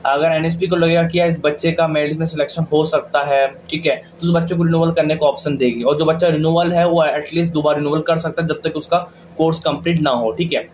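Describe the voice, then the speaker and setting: A man's voice: fairly high pitch, steady tone, fast, few pauses, a little mellow, a little hiss or hum, poor audio. A man with an Indian accent giving an informal explainer to viewers, chatty and colloquial, often checking they follow. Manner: colloquial, addresses viewers like friends, frequent check-in tags. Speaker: video host giving scholarship updates.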